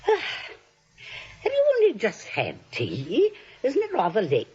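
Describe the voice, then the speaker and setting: An actress playing a gentle elderly aunt in a 1940s radio comedy, cooing and genteel, on a vintage recording. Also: female voice, a little high, huge pitch swings, slow pace, slightly rough, muffled recording, heavily acted. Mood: sweetly curious. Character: gentle, sweet elderly aunt, coyly conspiratorial.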